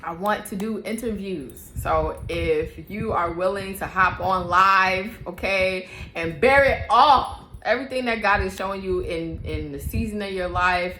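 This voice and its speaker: A woman's voice: medium pitch, a little slow, few pauses, bright, forceful tone, roomy sound, slight background noise, low-quality recording. A woman hosting her own faith-based mom podcast, talking casually to camera, chatty and often laughing, repeating herself for emphasis. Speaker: podcast host and mother.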